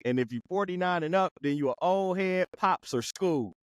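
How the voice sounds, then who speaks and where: A man's voice: high-pitched, animated, normal speed, dry recording, studio quality. A middle-aged man hosting a casual podcast chat, easygoing, laughing often and turning earnest at times. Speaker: podcast host.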